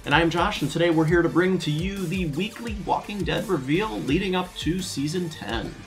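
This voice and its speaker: A man's voice: sits high, moderate pace. Man, casual co-host, music behind. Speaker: co-host of a fan news channel. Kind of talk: casual show chat. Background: background music.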